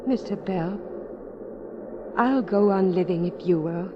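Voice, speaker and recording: An actress playing an earnest, secretly desperate married woman climber in a 1940s radio drama, with hushed pleading and dramatic pauses. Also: medium pitch, lightly acted. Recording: muffled, noisy 1940s radio recording.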